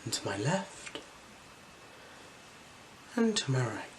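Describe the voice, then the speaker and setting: A gentle, unhurried ASMR performer speaking softly and slipping into whispers while role-playing an eye examiner. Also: mid-range voice, sing-song. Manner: gentle, unhurried, soothing instructions. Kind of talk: ASMR role-play, soft-spoken with whispers. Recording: clear background noise.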